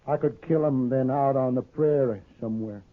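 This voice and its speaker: An actor playing a weary, grimly determined old American farmer in a 1950s radio western, speaking plainly and haltingly. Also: male voice, medium pitch, natural voice. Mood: grimly resigned. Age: older. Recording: muffled, narrow-band old radio recording.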